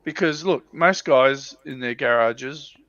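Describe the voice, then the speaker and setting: A man giving his opinions in casual, halting chat as a panelist on a hobby livestream, often rephrasing as he goes. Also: medium pitch, fairly bright tone, dry recording, lo-fi sound. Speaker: livestream panelist on a tabletop wargaming show.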